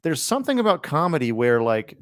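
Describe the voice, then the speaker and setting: A man's voice: a little high, sing-song, brisk, no echo, clean studio sound. A man co-hosting a podcast in casual chat, with a slangy, sweary, conversational way of talking. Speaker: podcast co-host.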